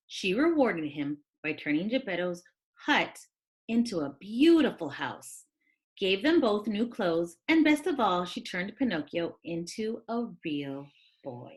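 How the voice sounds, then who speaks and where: A woman reading a children's story aloud as a teacher, with expressive, dramatic delivery. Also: mid-range voice, unhurried.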